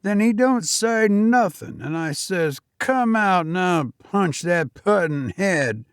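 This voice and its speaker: A man voicing a nervous, deferential young Cockney servant with rough working-class speech. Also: high-pitched, animated, slow pace, no echo, studio quality, pitched above the performer's own voice. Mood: indignant.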